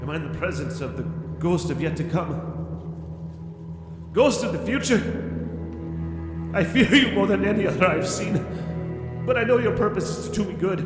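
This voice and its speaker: An actor playing a frightened, repentant old miser in an audio drama, pleading with dramatic urgency. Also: male voice, high-pitched, animated, normal speed, long pauses. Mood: fearful, resolute. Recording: echoey reverberant hall, loud music behind.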